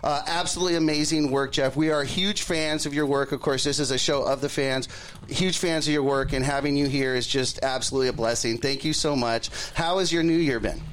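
A man's voice: mid-range voice, steady tone, brisk, a bit bright. A man hosting a casual sports podcast, effusive and enthusiastic as he welcomes and interviews a guest. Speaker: podcast host.

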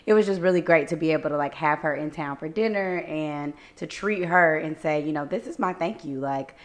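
A slightly hoarse young woman, co-hosting a casual faith podcast, upbeat and reflective, laughing easily. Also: deep voice, fairly quick. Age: young adult. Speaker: podcast co-host.

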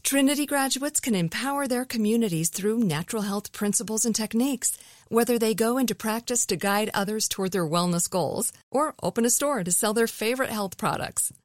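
Woman, ad voice, fairly high, smooth, dry close mic. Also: brisk, dry recording. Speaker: ad reader. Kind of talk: scripted ad read.

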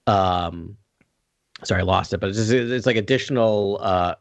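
A man's voice: lowish voice, normal speed, dry recording. A man on a podcast panel in a casual review discussion, earnest and rambling as he thinks aloud.

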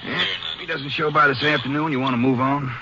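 A man's voice: fairly high pitch, fairly quick, vocal fry. An American man playing a plainspoken Texas Ranger sidekick in a 1950s radio drama, with a casual, questioning manner. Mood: easygoing. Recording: muffled old radio broadcast with faint noise.

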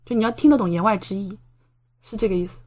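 A woman's voice: mid-range voice, fast talker, darkish tone. A young adult woman from the Shanghai area of China, a podcast guest chatting casually and explaining with plenty of examples. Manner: chatty, explanatory, gives examples with acted-out dialogue. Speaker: podcast guest, doctoral student in Japan. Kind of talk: casual interview conversation.

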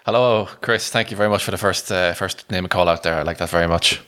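A man's voice: low-pitched, quick pace, bright, forceful tone, smooth. A man co-hosting a sports podcast, casually recounting matches in a conversational way. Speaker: podcast co-host and match reporter.

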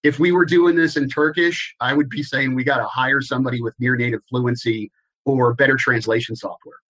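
Measured man explaining compliance. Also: mid-range voice, fairly even intonation, fairly bright tone, rough recording. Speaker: guest, compliance expert. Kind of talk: interview answers.

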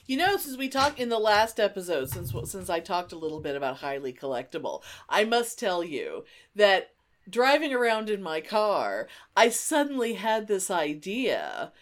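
A woman co-hosting a podcast in casual chat, with an excitable, emphatic way of talking. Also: medium pitch, moderate pace. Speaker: podcast co-host.

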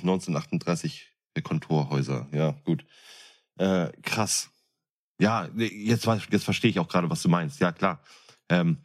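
A man co-hosting a podcast, chatting casually in crude, slangy language. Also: deep voice. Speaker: podcast co-host. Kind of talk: casual chat.

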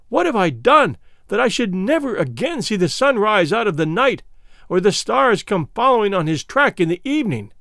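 A male reader plays a gentle young maiden in an adventure storybook, facing doom. The voice is lifted high, plaintive and trembling, rising and falling with anguish through slow archaic phrases. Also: no echo, studio quality, full character voice. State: trembling, lamenting.

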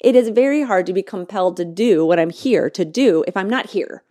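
A woman hosting a podcast, talking in a reflective monologue that halts and restarts often and slows to stretch out key words. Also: medium pitch, lively intonation, dark tone, dry recording, clean studio sound. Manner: halting, searching, many restarts and fillers; slow, drawn-out emphasis on key words. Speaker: podcast host.

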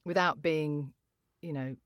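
A woman from the UK, a voiceover artist, talking in a chatty, casual way with a low voice, laughing often and restarting her sentences. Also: fairly even intonation, takes it slowly, no echo, clean studio sound. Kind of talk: casual interview chat.

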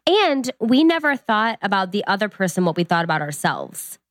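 A young woman co-hosting a casual podcast, a little congested from a cold, telling stories in a relaxed way. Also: mid-range voice, moderate pace, dry recording, clean studio sound. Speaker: podcast co-host. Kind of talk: casual chat.

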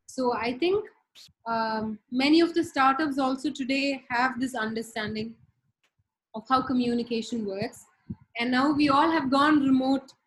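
A woman's voice: high-pitched, measured pace, poor audio. A middle-aged woman from India with an Indian accent, speaking casually as a podcast guest on a remote call, thoughtful with many fillers. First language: Tamil. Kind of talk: casual interview talk.

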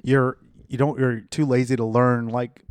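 A man's voice: mid-range voice, flat delivery, a little slow, smooth. A man hosting a casual podcast chat, who asks probing questions and teases his guest. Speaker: podcast host.

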